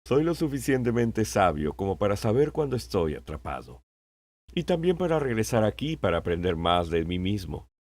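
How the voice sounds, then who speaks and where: A man playing a wise, patient old king in an audiobook, speaking in a measured, sententious way. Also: on the low side, big pitch swings, a little mellow, no echo. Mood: calm, teaching. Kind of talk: audiobook character acting.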